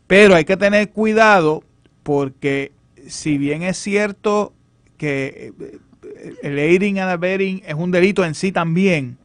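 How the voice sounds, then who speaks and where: Halting Puerto Rican man, dry sound. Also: a little high, no echo. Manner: halting, hesitant, measured argument. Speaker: political analyst panelist. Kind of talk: unscripted political commentary.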